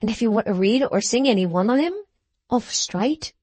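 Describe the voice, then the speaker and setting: A woman's voice: fairly high pitch, lively intonation, normal speed, a little mellow, no echo. A woman voicing a jovial, boastful older man who speaks in broad, ungrammatical English, eager and enthusiastic. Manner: broad, rough-grammared, enthusiastic.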